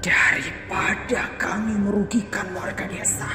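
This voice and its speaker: A woman voicing a menacing giant rat queen spirit, speaking slowly and threateningly. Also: mid-range voice, fairly even intonation, lightly acted. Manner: slow, drawn-out, threatening. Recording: echoey with music behind.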